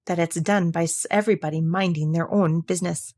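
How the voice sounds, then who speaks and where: A woman's voice: on the low side, fairly even intonation, fairly quick, dark tone, dry recording, lightly acted. A woman voicing a polite, cautious young girl in a storybook, earnest and tentative, with opinions of her own.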